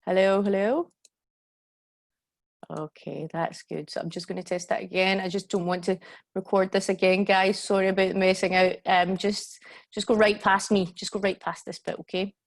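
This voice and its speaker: A woman's voice: mid-range voice, little pitch movement, normal speed, gravelly. Glasgow lady in her 40s running a zoom yoga workshop, chatty, keeps saying okay and guys, computer mic. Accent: Glaswegian. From Scotland. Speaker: yoga teacher and workshop host. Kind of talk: live online workshop talk. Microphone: computer mic on a video call.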